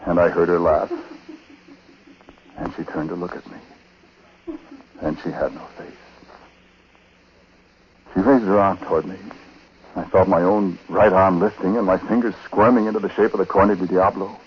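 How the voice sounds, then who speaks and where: Deep-voiced haunted husband, vintage radio. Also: male voice, fairly even intonation, slightly rough. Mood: haunted, tense. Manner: hushed, halting, dramatic first-person telling. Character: haunted condemned husband recounting his wife's uncanny death. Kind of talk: 1940s radio drama narration. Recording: noisy, muffled 1940s radio broadcast.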